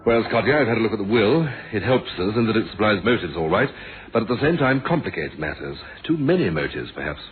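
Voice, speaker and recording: An actor playing a measured, dryly thoughtful police inspector in a radio drama, polite and understated in manner. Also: male voice, fairly low pitch, very animated, a crowd in the background. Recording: dull, hissy old radio recording.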